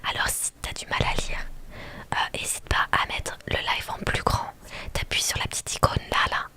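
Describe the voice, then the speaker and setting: A young woman speaks entirely in a soft, breathy whisper. She moves between reading game text aloud and chatting to her live stream viewers in an ASMR style. Her delivery is playful and keen. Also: moderate pace. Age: young adult.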